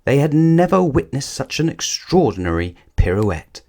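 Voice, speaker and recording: A man reading a children's picture book aloud as a storyteller, with deliberate, dramatic emphasis. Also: mid-range voice, sing-song, mellow. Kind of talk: expressive scripted children's story narration.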